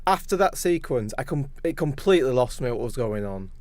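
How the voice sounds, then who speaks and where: Mid-pitched man chatting, close and dry sound. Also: animated, fairly quick, darkish tone, no echo. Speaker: podcast co-host. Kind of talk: casual film discussion.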